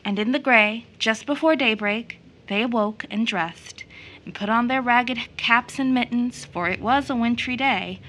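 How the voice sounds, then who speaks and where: A woman's voice: a little high, measured pace, a bit bright, slight background noise, low-quality recording. A woman acting as a storyteller in an audio drama, narrating a children's fairy tale expressively. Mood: storytelling.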